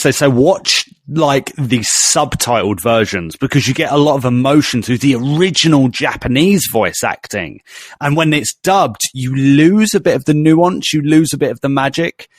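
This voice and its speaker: A man's voice: medium pitch, lively intonation, moderate pace. A man with an English accent hosting a podcast, earnest and enthusiastic, often stumbling and repeating words. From England. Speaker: podcast host. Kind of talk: casual podcast conversation.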